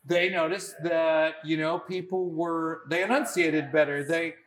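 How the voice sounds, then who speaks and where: A man's voice: on the high side, fairly even intonation, unhurried, a bit bright, low-quality recording. A man hosting a casual talk show, chatty and full of restarts. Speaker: radio show host. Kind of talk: casual chat.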